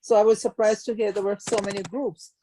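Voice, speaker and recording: A woman's voice: mid-range voice, fairly even intonation, fairly quick, warm tone, slight background noise, poor audio. A woman in the audience asking a question in a polite, conversational way. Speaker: audience member.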